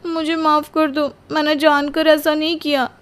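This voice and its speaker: A woman acts out a young newlywed wife in a cartoon melodrama. The voice is extremely high and plaintive, full of weary complaint at being put upon. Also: level tone, normal speed, lightly acted. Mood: meek. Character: exhausted, put-upon young newlywed bride, aggrieved and tearful. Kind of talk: melodramatic cartoon story acting.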